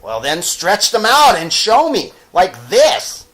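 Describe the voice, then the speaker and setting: Brash young wild rabbit for a storybook, played by a man: high, loud, taunting. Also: wildly swooping pitch, takes it slowly, exaggerated voice. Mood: mocking. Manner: taunting, exclamatory.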